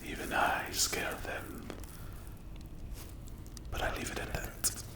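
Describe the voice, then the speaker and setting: A performer voicing a menacing, sadistic demon in a breathy whisper for a dark fantasy ASMR roleplay, speaking slowly and deliberately. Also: roomy sound, close to an everyday voice. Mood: grave, disapproving. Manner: slow, deliberate, menacing delivery. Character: menacing sleep paralysis demon, sadistic predator with some morals.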